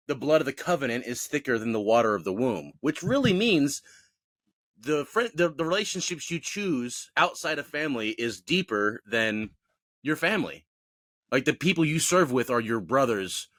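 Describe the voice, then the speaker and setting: Bright-voiced man ranting on a podcast. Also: mid-range voice, moderate pace, no echo. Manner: opinionated, animated, self-mocking. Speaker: podcast co-host. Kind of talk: casual ranting chat.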